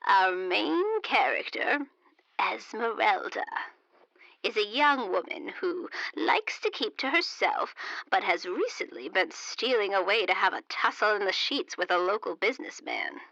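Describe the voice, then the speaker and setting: Racy elderly lady novelist, very animated pitch, sharp bright tone, coy, unhurried, dry slightly dull recording. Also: creaky, dry recording, heavily acted. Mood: fussy, eager. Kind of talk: audio drama, comedic character acting.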